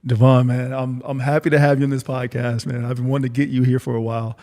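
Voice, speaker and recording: A man's voice: medium pitch, little pitch movement, fast talker, darkish tone. A man hosting a podcast in a casual, conversational interview style. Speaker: podcast host.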